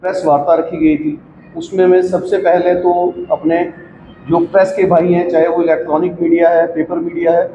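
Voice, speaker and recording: Man, high pitch, courteous formal vote of thanks, repetitive, long reverb. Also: little pitch movement, normal speed, warm tone. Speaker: party representative closing press conference. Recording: dull sound, echoey reverberant room, faint noise.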